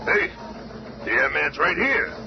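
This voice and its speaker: A man's voice: a little high, very animated, a little slow, gravelly, noisy background, heavily acted. A man playing a blunt, wisecracking monster superhero with slangy tough-guy talk in a radio play. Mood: scornful, blunt. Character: gruff, grumbling, wisecracking male monster superhero. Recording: dull old radio recording, music behind.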